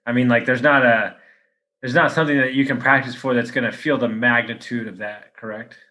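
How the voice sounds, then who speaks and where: Male podcast host, bright, sharp tone, eager interviewer. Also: mid-range voice, steady tone, fairly quick. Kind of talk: interview questions.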